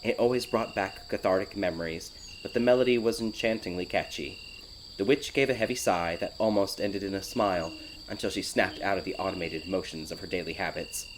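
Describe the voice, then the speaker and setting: A man narrates a dark fairy tale in a lowish voice, as in an audiobook. Crickets and night ambience are clearly audible behind him. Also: fairly quick, noisy background. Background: night insects, ambience.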